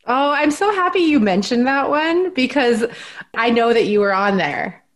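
A bubbly woman hosts a podcast conversation in a fairly high voice. She chats casually, laughs a lot and leans on fillers as she talks. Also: lively intonation, moderate pace. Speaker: podcast host. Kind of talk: casual interview chat.

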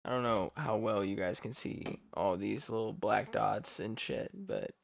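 A man's voice: fairly low pitch, a little slow, vocal fry, no room sound. A young man hosting a casual podcast chat, loose and profane, laughing often. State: hurt rib. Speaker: podcast host.

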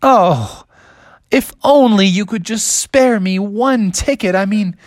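A storyteller voicing a young male bear who thinks he is a smooth talker, in a high wheedling voice. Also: takes it slowly, no room sound, clean studio sound, close to an everyday voice. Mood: pleading.

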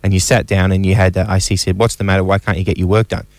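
A man's voice: deep voice, flat delivery, quick pace, a little mellow, no echo. Australian male radio co-host, dry close sound. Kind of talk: casual radio banter.

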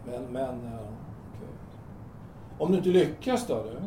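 A man's voice: medium pitch, expressive, warm tone, close to an everyday voice. A man acting in an audio drama as a blunt, casual thirtyish man, hesitant and matter-of-fact. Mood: wary, blunt. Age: 30s. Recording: roomy, distant, noisy amateur-sounding recording.

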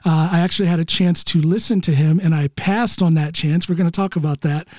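A man with a notably high voice hosts a casual podcast chat, talking freely and laughing often. His sound is close and dry, with no room around it. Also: steady tone, fairly quick, darkish tone, no room sound. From United States. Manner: chatty, laughs often, self-deprecating. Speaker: podcast host. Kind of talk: casual conversational interview.

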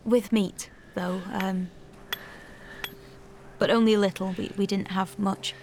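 Audio drama villager: caring and halting, mid-pitched, natural delivery over faint ambient noise. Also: female voice, steady tone. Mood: tentative, awkward. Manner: tentative, halting, kindly. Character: gentle, awkward, caring village woman in wartime aftermath.

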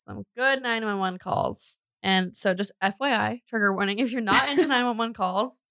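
A woman with a bright, forward voice recorded close and dry. She tells true crime as a casual podcast host, chatting in a jokey, giggly manner. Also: medium pitch, moderate pace, no room sound.

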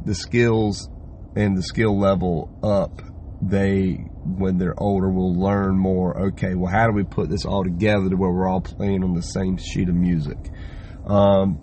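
A hoarse man hosting a podcast, talking through a loose, rambling solo update and swearing casually. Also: deep voice, darkish tone, slight background noise. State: hoarse, lost voice from yelling. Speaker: podcast host.

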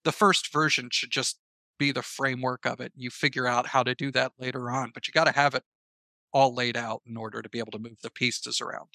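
A man speaking as an interview guest, reflective and casual, pausing thoughtfully and stressing his points. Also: mid-range voice, little pitch movement, vocal fry, bright, forceful tone, dry recording. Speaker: guest, writer and audio drama creator.

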